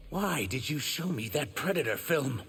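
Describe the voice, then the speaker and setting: A man's voice: fairly high pitch, animated, moderate pace, vocal fry, a bit bright, slightly rough, exaggerated voice. A man voicing an earnest young shapeshifting reptilian warrior in human form for a video game. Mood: wry, sincere. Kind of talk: video game character dialogue.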